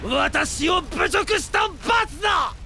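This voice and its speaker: A man's voice: very high voice, lively intonation, drawn-out words, creaky, sharp tone, raised voice, a little hiss or hum, full character voice. An actor playing a gloating, megalomaniac scheming villain in an audio drama, theatrical and condescending, drawing out his taunts. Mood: enraged. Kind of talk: Japanese audio drama acting.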